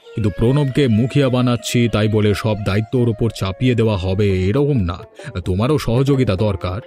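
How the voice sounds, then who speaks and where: A man voicing a dutiful aging village headman and father in a moral-story cartoon, measured, formal and admonishing. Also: lowish voice, dark tone, faint music behind, lightly acted. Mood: measured approval.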